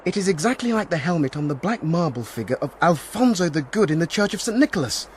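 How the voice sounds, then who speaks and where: A man's voice: a little high, big pitch swings, fast, a little mellow, slight background noise. An actor playing a bold, naive young peasant man, urgent and earnest, in a theatrical gothic audio drama. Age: young adult.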